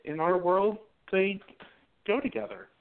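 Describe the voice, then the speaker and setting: A man's voice: high-pitched, measured pace, gravelly. A man playing an affectionate, reassuring young newspaperman in an amateur radio play. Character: young male newspaperman, loving and devoted. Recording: tinny phone-like sound.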